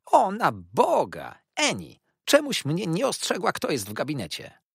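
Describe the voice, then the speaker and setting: A man voicing a fussy, pompous older woman who speaks in a shrill, emphatic, theatrical way. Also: huge pitch swings, quick pace, fairly bright tone, slightly rough, no room sound, clean studio sound, heavily acted. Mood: indignant, fussy.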